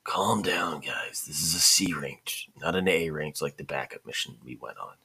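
A man voicing a calm, easygoing male ninja squad leader in an amateur story reading. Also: a bass voice, a little slow, breathy edge, full character voice.

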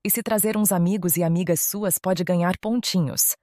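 A determined Brazilian schoolgirl, voiced by a woman, speaks directly and in a businesslike way. Her voice is fairly low and recorded dry, as in an audio drama. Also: steady tone, moderate pace, dark tone, no room sound, close to an everyday voice. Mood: determined, casual. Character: determined teenage schoolgirl running her own party.

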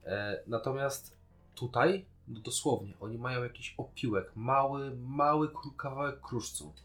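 A man's voice: mid-range voice, measured pace, a bit bright, soft background music. A man, co-hosting a podcast in a casual discussion of a TV series. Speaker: podcast co-host.

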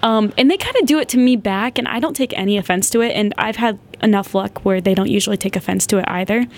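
Twenties girl rambling through a story on her podcast, giggly, lots of "like", mid-pitched voice. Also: quick pace. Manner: chatty, giggly, heavy use of "like". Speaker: podcast host. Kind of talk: casual storytelling.

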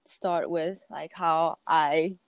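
A woman's voice: sits low, fairly even intonation, drawn-out words, no room sound. A young woman from Taiwan and Japan chatting casually, hesitant as she searches for her words. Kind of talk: casual conversational discussion.

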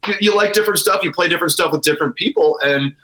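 A man's voice: sits high, very fast, bright, forceful tone, poor audio. A man speaking as an interview guest, telling things in an informal, rambling way. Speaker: guest, brewery co-owner. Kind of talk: casual interview storytelling.

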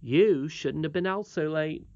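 A woman's voice: low voice, a little slow, darkish tone, dry recording, dull audio, pitched above the performer's own voice. A woman reading a storybook aloud, voicing a confident, cheerful twelve-year-old miner boy with a frank, matter-of-fact manner.

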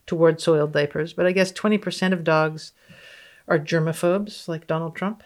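A woman's voice: deep voice, fairly even intonation, moderate pace. A woman guest on a podcast, reading research aloud and commenting in a wry, matter-of-fact way. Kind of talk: reading research aloud with casual, unscripted reactions.

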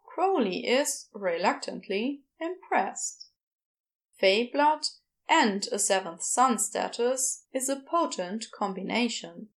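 Woman narrating a fantasy story, takes her time, voice a bit high. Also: lively intonation, takes it slowly. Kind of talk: scripted fiction narration for a podfic.

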